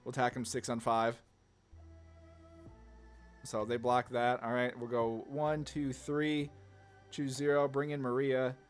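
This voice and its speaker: A man's voice: mid-range voice, monotone, measured pace, pauses often. A man giving casual, rambling commentary on a card game, thinking aloud in slangy, informal speech. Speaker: gaming content creator. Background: background music.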